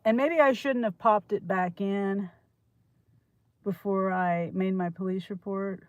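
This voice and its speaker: A woman's voice: mid-range voice, steady tone, a little slow, dry recording. An older woman vlogger with a congested head cold, chatting in a rambling, thinking-aloud way and often restarting her sentences. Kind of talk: casual rambling chat to camera.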